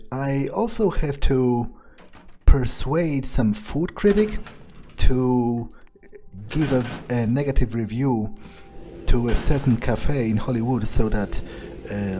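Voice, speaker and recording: A man's voice: medium pitch, warm tone, a little hiss or hum. A man narrating a video game playthrough in character, thinking aloud in a hesitant, understated way. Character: calculating, detached vampire mercenary for hire. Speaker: player voicing their character in a let's play.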